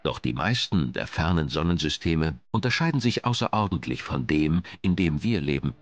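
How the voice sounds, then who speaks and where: Man, documentary narrator, low voice, lively intonation, dramatic measured delivery, music behind.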